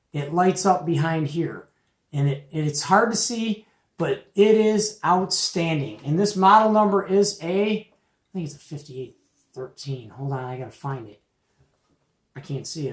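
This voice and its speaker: A middle-aged man giving a casual, folksy and opinionated product show-and-tell as the host. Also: on the high side. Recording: echoey, roomy home recording.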